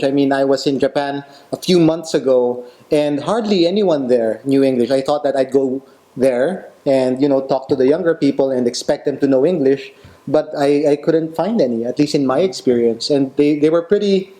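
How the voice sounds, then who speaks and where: Homme animateur à la voix douce. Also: male voice, a little high, dark tone, poor audio. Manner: leads the talk, asks follow-up questions. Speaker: podcast host. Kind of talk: casual panel discussion.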